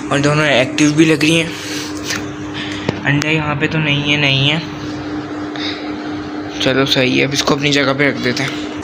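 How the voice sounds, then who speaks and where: A man's voice: on the high side, very noisy. A teenage boy narrating his daily vlog into a phone held at arm's length, plainly describing each step of his day. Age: teens. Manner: plain step-by-step running commentary. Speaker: vlogger. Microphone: phone camera at arm's length.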